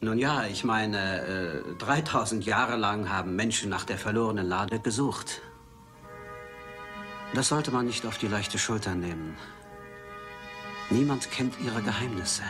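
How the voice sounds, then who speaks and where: An actor playing an older museum colleague and mentor in a film dub, cautious, earnest and measured. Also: male voice, fairly low pitch, lively intonation, music in the background. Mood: grave, warning. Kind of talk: dubbed film acting.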